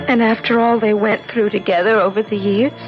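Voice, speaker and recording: An actress playing a halting, emotional grieving woman in an old-time radio drama. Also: mid-range voice, fairly even intonation, close to an everyday voice. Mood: stricken, resigned. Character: grieving woman, trying to accept a tragic loss. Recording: dull old radio recording, music behind.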